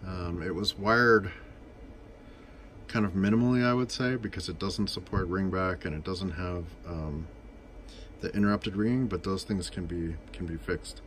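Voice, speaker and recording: Deep voiced guy walking through some old gear, unscripted and matter of fact, bit of noise behind him. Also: normal speed, fairly bright tone. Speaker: demonstrator of vintage telephone equipment. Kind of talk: informal technical demonstration, unscripted. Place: indoors beside an equipment cabinet.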